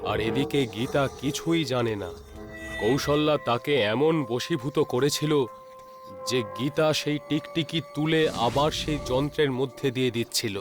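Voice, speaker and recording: A male storyteller reads a scripted ghost tale. His voice is mid-pitched, and music plays clearly behind the narration. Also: normal speed.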